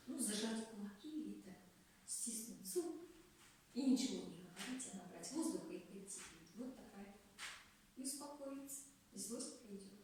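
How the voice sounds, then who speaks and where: A woman's voice: mid-range voice, animated, moderate pace, darkish tone. A woman taking part in a workshop, answering informally and piecing her thoughts together as she goes. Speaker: workshop participant. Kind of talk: unscripted group answers. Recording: echoey distant room, clear background noise.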